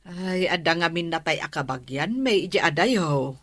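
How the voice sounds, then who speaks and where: A woman acting an unhurried, plain-spoken village neighbour in an Ilocano comedy drama, with an Ilocano accent. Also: fairly low pitch, animated, a bit bright, no room sound, studio quality. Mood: chatty, grumbling.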